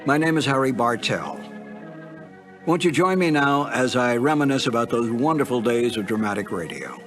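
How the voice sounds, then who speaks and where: Older man, American accent, medium pitch, reminiscing, anecdotal storyteller, former announcer. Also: expressive, moderate pace, music in the background.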